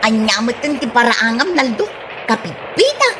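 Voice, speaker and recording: A woman's voice: on the high side, big pitch swings, normal speed, background noise. A woman playing a haughty, fussy returnee from abroad in an Ilocano comedy drama, whiny and disdainful, with an Ilocano accent. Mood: haughty, annoyed.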